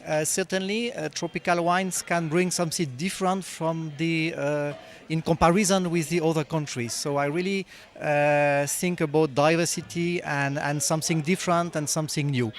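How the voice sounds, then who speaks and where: A man from France, a professor answering interview questions at a conference, halting and searching for words. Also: a little high, fairly even intonation. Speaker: wine professor.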